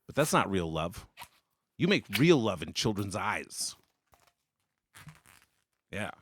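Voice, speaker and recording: A man's voice: lowish voice, sing-song, slow pace, fairly bright tone, slight background noise. A man bantering casually as a stream co-host, joking and rambling in a mock-earnest way.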